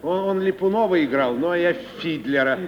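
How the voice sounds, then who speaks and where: An actor playing a meek, plaintive provincial comedian in an old radio play, fussing and repeating himself in whining protest. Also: male voice, a little high, brisk, lightly acted. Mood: aggrieved storytelling. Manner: stammering repetitions, whining, fussy protest. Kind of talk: mid-century Russian stage radio acting. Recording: muffled, noisy, roomy 1950 radio recording, off-mic.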